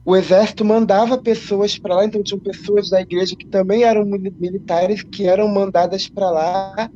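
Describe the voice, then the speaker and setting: A Brazilian man telling a story in a halting way, restarting often. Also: high-pitched, warm tone, muffled recording, a little hiss or hum. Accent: Brazilian Portuguese. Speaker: panelist. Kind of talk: discussion.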